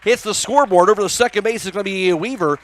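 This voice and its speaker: A man's voice: high voice, fast talker, a bit bright. An American man working as a radio announcer, speaking in a broadcast style into a close microphone. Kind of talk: live baseball play-by-play commentary.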